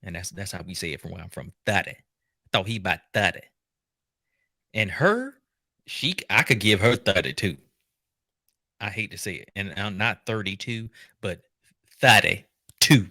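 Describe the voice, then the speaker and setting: A man hosting a show, giving casual, unscripted commentary in an animated, joking, colloquial way. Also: on the low side, normal speed, pauses often, dry recording, clean studio sound. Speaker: host reacting to a documentary.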